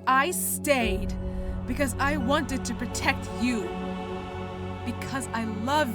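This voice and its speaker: A woman's voice: high voice, takes it slowly. An actress playing a battle-hardened woman general in an audio drama, intense and clipped, over music. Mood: impassioned. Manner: intense, clipped, swears when angry. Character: battle-hardened female general, fiercely protective.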